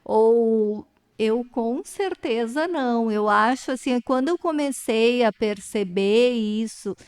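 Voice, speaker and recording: A woman, interviewing in a thoughtful, personal, unhurried way. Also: a little high, darkish tone, smooth. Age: 40s. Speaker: interviewer, educator. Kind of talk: reflective conversational interview.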